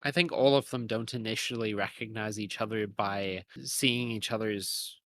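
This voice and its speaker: A man's voice: fairly low pitch, steady tone, moderate pace, pushed and bright, no room sound. A man co-hosting a conversational, analytical discussion in a thoughtful way, sometimes searching for words. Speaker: podcast co-host.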